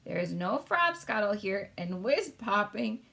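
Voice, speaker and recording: A woman's voice: mid-range voice, very animated, a little slow, creaky, pushed and bright, full character voice. A woman voicing an earnest, proper little girl in a storybook, explaining and scolding firmly.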